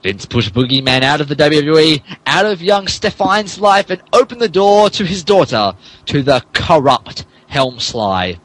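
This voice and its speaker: A man's voice: on the high side, sing-song, measured pace, hardly any pauses, a bit bright, dry recording. A man narrating a comic dramatic reading in a mock-serious way, often stumbling over his words. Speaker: podcast host reading as narrator.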